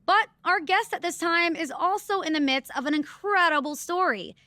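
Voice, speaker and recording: Female wrestling co-host, high, bright voice, teasing, game broadcast. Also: normal speed, no room sound. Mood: upbeat. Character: lively, teasing female sports show co-host. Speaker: interview co-host. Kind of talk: broadcast presenting in game cutscene.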